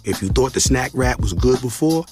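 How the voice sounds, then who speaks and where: A man delivering a scripted fast-food commercial voice-over as an announcer. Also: medium pitch, animated. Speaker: advertising announcer. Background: music under the voice.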